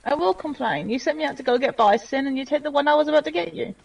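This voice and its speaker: Teasing woman co-player, bright higher voice, heard from afar over voice chat with faint noise. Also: creaky, recorded from a distance, highs cut off, a crowd in the background. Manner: teasing, playful, quick to laugh. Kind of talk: casual gaming chat.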